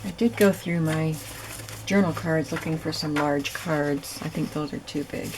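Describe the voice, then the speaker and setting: A woman hosting a crafting video, hoarse and raspy from lack of sleep, chatting casually as she works. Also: low-pitched, measured pace, background noise. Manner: relaxed, chatty, thinking aloud while working. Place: home craft room.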